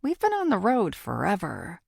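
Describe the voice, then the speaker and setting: A woman's voice: mid-range voice, wildly swooping pitch, normal speed, creaky, a little mellow, slightly rough, dry recording, studio quality. A woman narrating a first-person thriller audiobook from a script.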